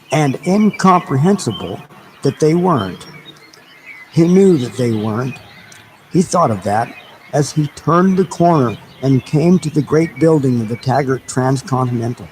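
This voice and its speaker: Slow-reading man over noisy background ambience. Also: mid-range voice, animated, a little mellow. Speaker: audiobook reader. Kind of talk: live dramatic reading of a novel. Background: background ambience sounds.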